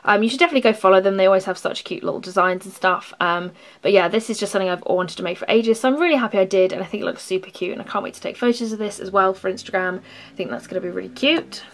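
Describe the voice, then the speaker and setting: A young woman rambles through a casual show-and-tell, full of ums, likes and little restarts. Her voice sounds a bit strained, as if she is losing it, and her pitch sits in an ordinary middle range. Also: rapid-fire. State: losing her voice, sore throat. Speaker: craft channel host. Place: indoors at a craft table.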